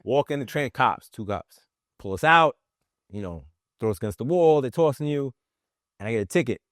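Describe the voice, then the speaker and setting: A man hosting a casual interview, telling lively anecdotes and often affirming his guest. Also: medium pitch, normal speed, no echo, studio quality. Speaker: podcast host.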